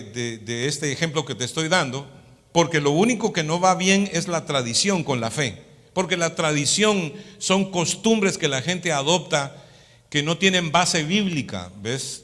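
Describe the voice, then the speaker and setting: A man's voice: a little high, bright, forceful tone. A man with a Latin American Spanish accent teaching a sermon as a pastor, in a didactic manner, often checking for agreement with short tag questions. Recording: long hall reverb.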